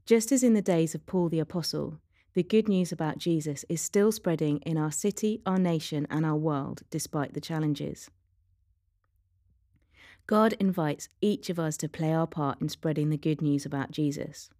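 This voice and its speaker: A woman with a low, mellow voice reads a scripted devotional. The sound is very dry and close, with faint music underneath. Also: moderate pace, no room sound. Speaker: devotional reader.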